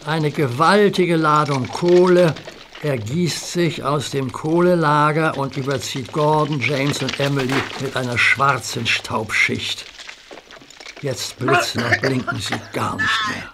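Measured male storyteller, slow and steady, noisy track. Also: mid-range voice. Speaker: narrator. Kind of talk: scripted children's story narration. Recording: noisy soundtrack recording.

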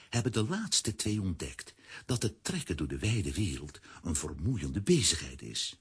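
A man's voice: low-pitched, a bit bright, breathy edge. A man narrating a children's audio play as a scripted storyteller.

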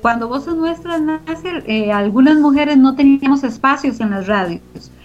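A woman's voice: high-pitched, expressive, measured pace, muffled recording, faint music behind. A middle-aged woman, giving reflective, explanatory answers as an interview guest. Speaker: interview guest, organization founder.

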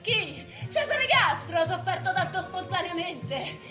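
A woman playing a brash, bossy, excitable starlet in a live stage comedy. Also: very high-pitched, unhurried, faint music behind, heavily acted. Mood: excited, shrill. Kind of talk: theatrical comedy acting. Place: theatre stage, live performance. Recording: echoey theatre stage.

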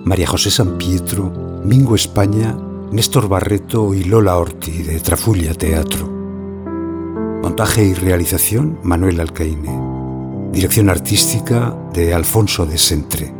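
Deep, slow male announcer over loud music. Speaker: announcer reading credits. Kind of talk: scripted radio announcement.

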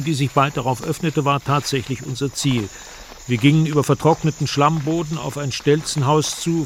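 A man's voice: medium pitch, a little hiss or hum. A man reading scripted first-person travel narration for a radio drama.

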